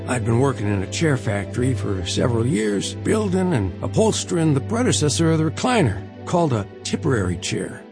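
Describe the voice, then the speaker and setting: A man's voice: mid-range voice, sing-song, fairly quick, creaky, few pauses. An actor playing a devout older father, a reformed drinker, narrating his life story in an earnest, emotive way for an audio drama. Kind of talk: dramatized first-person narration. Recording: music bed under the voice.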